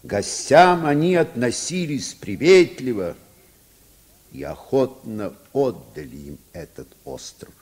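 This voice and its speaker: An actor playing a proud, grave old Mohican chief in a solemn, declamatory mid-century Soviet children's radio play. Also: male voice, medium pitch, darkish tone, slightly rough, full character voice.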